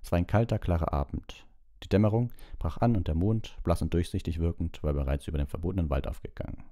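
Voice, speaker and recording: A man's voice: sits low, fast talker, warm tone, dry recording, clean studio sound. A man narrating a novel as an audiobook, reading from a script.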